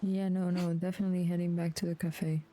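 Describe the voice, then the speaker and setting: A woman's voice: lowish voice, little pitch movement, fairly quick, dark tone. A woman live streaming a game with casual, offhand commentary. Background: game music.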